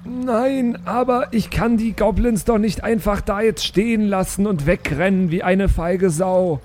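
A man's voice: sits high, unhurried, darkish tone. A man improvising casually as a player in a tabletop role-playing game. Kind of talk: improvised in-character role play, casual chat.

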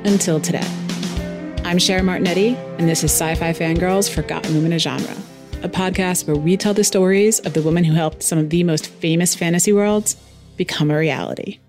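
A woman's voice: on the low side, fairly even intonation, fairly quick, over music. A woman hosting a podcast, reading a scripted documentary-style narration. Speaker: podcast host.

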